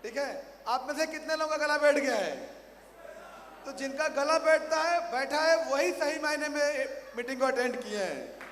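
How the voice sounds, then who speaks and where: A man with a very high voice and a hoarse throat, speaking on a stage microphone to a big crowd. He keeps throwing questions out and repeating them louder. The sound carries a long, roomy echo from the large hall. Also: moderate pace, slight background noise. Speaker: motivational speaker at a company training event. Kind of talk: rousing stage speech to a large audience. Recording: echoey hall, faint ambience.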